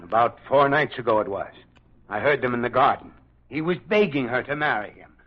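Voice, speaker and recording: A husky voice of a male actor as a bitter servant in an old radio mystery, once a valet and now a gardener. He is sullen, suspicious and accusing, on a dull broadcast recording. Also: medium pitch, lively intonation, a little fast, lightly acted. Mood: resentful, accusing. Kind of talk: radio drama acting.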